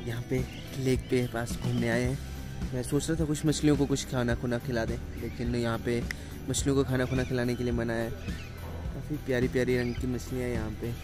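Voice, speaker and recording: A man in his twenties talks casually to his vlog audience outdoors. His delivery stays fairly flat in range at an average male pitch, with clear music running underneath. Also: brisk. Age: 20s. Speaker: vlogger. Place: outdoors by a lake. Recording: music behind the voice.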